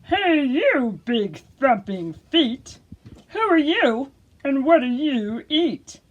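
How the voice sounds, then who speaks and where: A woman voicing a brash, boastful big-mouthed frog puppet for a children's storytime, loud and drawn out. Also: high voice, very animated, lo-fi sound, voice pushed up, exaggerated voice. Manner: loud, drawn-out, shouting questions.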